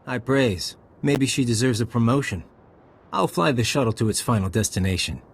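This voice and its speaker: A man's voice: medium pitch, lively intonation, normal speed, husky. A man acting a sardonic, ruthless intelligence operative in a video game, with a dry, smug, casually menacing delivery. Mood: dry, brisk.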